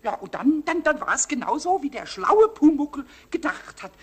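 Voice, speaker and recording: A man voicing a small mischievous, childlike kobold in a children's radio play, stammering eagerly and repeating words in a squeaky, raspy voice. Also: very animated, normal speed, fairly bright tone, exaggerated voice. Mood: boastful, excited.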